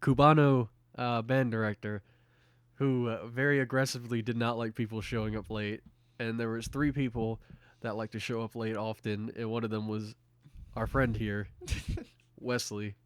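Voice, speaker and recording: A young man with a mid-range voice banters casually as a podcast co-host. His delivery is animated and teasing, and the recording is dry with no room sound. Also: medium pitch, normal speed, clean tone, no room sound. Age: young adult. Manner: animated, teasing, acts out quotes.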